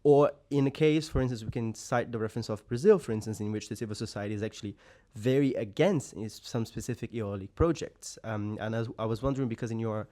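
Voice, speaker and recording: A man's voice: lowish voice, fast talker, warm tone. A young man, a doctoral student, asking a careful question after a lecture, searching for words. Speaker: PhD student. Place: lecture room.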